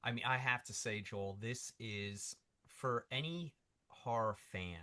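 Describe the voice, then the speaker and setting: A man's voice: mid-range voice, measured pace, sharp tone. A man co-hosting an interview, speaking in a casual, conversational way. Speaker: interview co-host.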